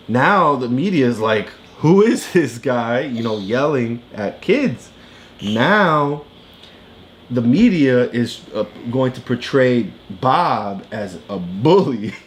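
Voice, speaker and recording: A man with a mid-pitched voice talks through a story as a tutor would. He explains casually and prompts with questions, with faint noise in the background. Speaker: reading tutor. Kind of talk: casual explaining during a read-along lesson.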